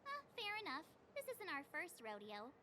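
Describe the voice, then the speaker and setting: Very high, bright, perky girl adventurer. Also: normal speed, slight background noise, heavily acted. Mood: wry. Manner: chatty, expressive, casual. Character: perky, plucky, outspoken teenage girl adventurer.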